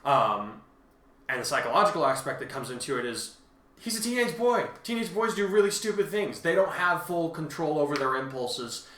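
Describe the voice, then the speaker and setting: A man casually explaining as a co-host, halting but emphatic as he talks through his points. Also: fairly high pitch, very animated, fairly bright tone. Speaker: co-host and fan fiction author responding to reviews. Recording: roomy, echoing sound.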